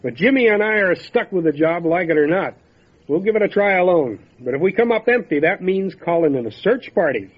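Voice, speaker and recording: Man playing a calm country sheriff, lo-fi radio. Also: high voice, fairly quick, slightly rough. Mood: firm, patient. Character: calm, dry, matter-of-fact male country sheriff investigating a death. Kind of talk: old-time radio drama acting. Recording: dull lo-fi radio drama recording, faint noise.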